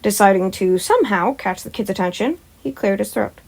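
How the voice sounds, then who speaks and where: A woman's voice: mid-range voice, expressive, a little fast, creaky, a little mellow. A woman who hosts a fan-fiction audio channel, reading stories and talking to listeners. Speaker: podfic reader and channel host. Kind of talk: scripted story narration and casual asides to listeners.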